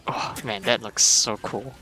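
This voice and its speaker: A man's voice: lowish voice, fairly even intonation, measured pace, bright, forceful tone, faint music behind, poor audio. A man giving casual, offhand commentary while playing a video game, thinking aloud as he goes. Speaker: streamer.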